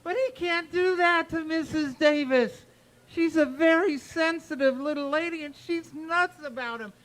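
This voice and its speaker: An actor playing an earnest, squeaky teenage schoolboy in an old-time radio comedy. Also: male voice, moderate pace, a bit bright. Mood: indignant.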